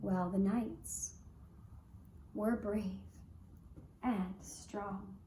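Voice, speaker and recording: Woman, puppet show storyteller, deliberate fairy-tale narration, very slow, roomy echo. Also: medium pitch, steady tone, warm tone, slight background noise. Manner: deliberate, with long pauses between phrases. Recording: roomy, echoey sound.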